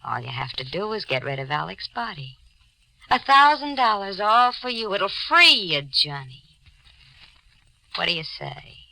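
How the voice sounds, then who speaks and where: An actress playing a cool, murderous femme fatale in 1940s radio noir style, coaxing and insinuating. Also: female voice, medium pitch, big pitch swings, measured pace, vocal fry, sharp tone, no room sound, heavily acted, poor audio. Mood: insistent, coaxing. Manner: coaxing, insinuating, coolly matter-of-fact. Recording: old 1940s radio recording.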